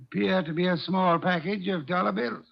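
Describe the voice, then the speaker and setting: A man's voice: high voice, fairly even intonation, moderate pace, vocal fry. A man playing a fat, lazy, imperious older detective in an old radio drama, speaking in a haughty, fussy, precise manner. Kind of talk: 1940s radio acting. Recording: dull lo-fi old radio recording.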